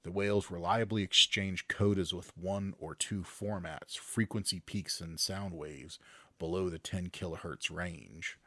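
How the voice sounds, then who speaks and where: Deep bright-voiced man reading news. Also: steady tone, unhurried, dry recording. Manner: jokey, breaks into loud silly voices. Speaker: podcast co-host reading news. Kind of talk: casual chat, reading articles aloud.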